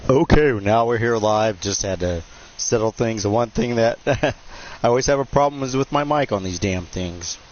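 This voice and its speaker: A man's voice: medium pitch, moderate pace, darkish tone. Nasal joking man on a lo-fi mic. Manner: joking, self-deprecating, rambling. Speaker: live stream host. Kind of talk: casual live stream chat. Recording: dull lo-fi stream mic with faint hiss.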